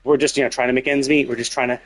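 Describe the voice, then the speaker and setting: A man's voice: medium pitch, flat delivery, racing. A man hosting a livestream, giving casual game commentary in a rambling way, thinking aloud as he goes. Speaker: livestream host. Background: game music.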